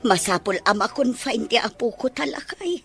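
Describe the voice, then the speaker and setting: A woman playing a concerned, practical older relative in an Ilocano audio drama, with a husky voice. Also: a little high, expressive, normal speed, a bit bright, faint music behind. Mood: worried, resigned.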